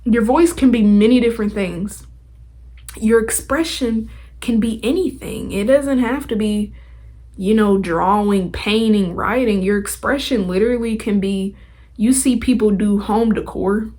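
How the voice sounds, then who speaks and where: A woman with a husky, slightly rough voice at a medium pitch hosts a podcast. She talks directly to listeners in a casual motivational style, with slangy, emphatic, conversational phrasing. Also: measured pace, darkish tone. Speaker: podcast host.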